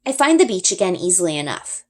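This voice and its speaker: A woman narrates a story in an expressive read-aloud style. Her voice is slightly low for a woman, with very lively, varied intonation. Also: quick pace. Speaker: reader.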